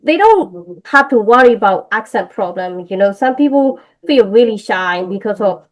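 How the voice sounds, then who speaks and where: A woman's voice: mid-range voice, animated, normal speed, poor audio. A woman from Myanmar speaking English with a Burmese accent, an English teacher chatting in an interview, hesitant and full of fillers and restarts. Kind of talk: casual interview conversation.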